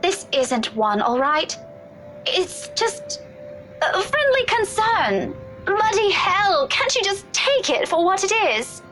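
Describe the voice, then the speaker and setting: A woman's voice: extremely high pitch, very animated, slow pace, fairly bright tone, heavily acted. An actress playing a blunt, sarcastic young woman in a game. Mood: teasing. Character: sharp-tongued young woman, blunt and teasing. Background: faint game music.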